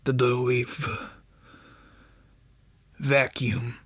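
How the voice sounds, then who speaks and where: A man voicing a horrified crystalline alien commander in a sci-fi audiobook, speaking in halting, broken fragments. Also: mid-range voice, level tone, a bit bright, no room sound, voice pushed up. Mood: horrified disbelief. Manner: halting, fragmented, one word at a time.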